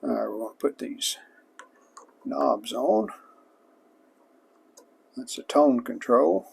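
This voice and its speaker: A man's voice: mid-range voice, lively intonation, slow, vocal fry, darkish tone, husky. A man casually narrating his own hands-on restoration work as a hobbyist host, in a plain-spoken, show-and-tell way.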